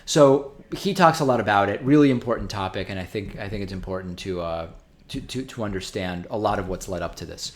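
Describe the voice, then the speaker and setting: A man's voice: lowish voice, fairly quick. A man whose first language is Spanish, hosting a live stream and reading out viewer donations in a casual way, with frequent ums and restarts as he thinks aloud.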